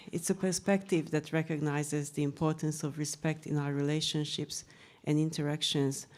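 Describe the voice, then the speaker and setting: Low-voiced woman with Hungarian accent. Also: a little mellow. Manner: measured, earnest delivery with occasional fillers. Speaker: author and researcher presenting her book. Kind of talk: prepared panel talk.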